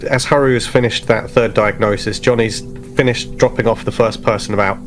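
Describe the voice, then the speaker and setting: A man's voice: medium pitch, fairly even intonation, brisk. A man speaking casually as a tabletop game player, narrating what his character does. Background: music under the voice.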